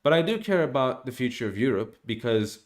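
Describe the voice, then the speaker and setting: Young guy from norway venting on stream, animated, keeps restarting sentences, webcam in a small room. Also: medium pitch, fairly quick. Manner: animated, hand gestures, frequent restarts and asides. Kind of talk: opinionated monologue to camera. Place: small room at home, indoors.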